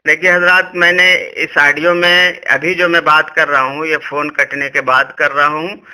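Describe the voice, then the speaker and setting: A man's voice: a little high, level tone, normal speed, bright, forceful tone, smooth. A man giving an argumentative religious explanation on a recorded phone call, insistent and lecturing, often repeating himself.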